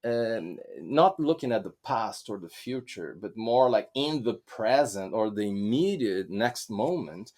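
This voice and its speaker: Man teaching, halting and thoughtful. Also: lowish voice, lively intonation, a little mellow. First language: Portuguese. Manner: thoughtful, halting, with many restarts and fillers. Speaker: podcast co-host, Enneagram teacher. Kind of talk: conversational teaching talk.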